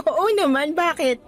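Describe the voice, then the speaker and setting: A high-pitched, cheerful young woman acted by a woman as a new teacher in a radio romance drama. She is earnest, chatty and expressive. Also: full character voice. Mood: warm, playful. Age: young adult. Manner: chatty, expressive, casual Taglish.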